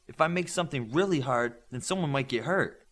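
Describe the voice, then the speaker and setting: A man's voice: medium pitch, animated, fairly quick, a bit bright, natural voice. A man reading the part of a mild, cautious peacemaking boy with powers in an audiobook, questioning and agreeable. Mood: reasoning.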